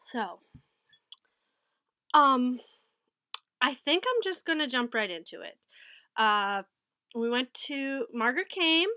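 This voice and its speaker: A woman's voice: high-pitched, animated, slow pace, long pauses, pushed and bright, no room sound, studio quality. Chatty woman with a stuffy head cold. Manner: chatty, rambling, self-correcting. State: head cold, a bit nasal. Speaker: knitting vlogger. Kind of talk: casual haul and recap vlog. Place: small wood-paneled room with bookshelves. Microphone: webcam at desk.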